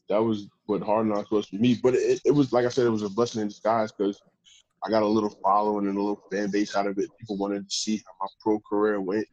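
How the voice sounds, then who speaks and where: A man, a professional football player, telling stories in a casual interview with a deep voice and a loose, rambling way of talking. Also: darkish tone, dry recording, lo-fi sound. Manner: laid-back, rambling with restarts. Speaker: pro football player guest.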